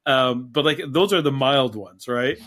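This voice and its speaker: Man, medium pitch, native Arabic speaker, podcast co-host, casual, anecdotal. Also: measured pace, fairly bright tone.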